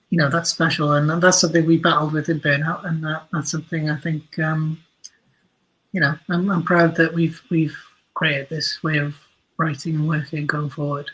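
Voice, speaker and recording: Husky, emphatic man reflecting in an interview. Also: a little high, a bit bright, low-quality recording. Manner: halting, emphatic, repeats phrases for stress. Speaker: guest, audio drama writer.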